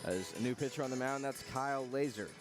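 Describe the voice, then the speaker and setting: A man calling live baseball play-by-play for radio, in short measured phrases with pauses between them. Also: mid-range voice, over music. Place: press box at an outdoor ballpark.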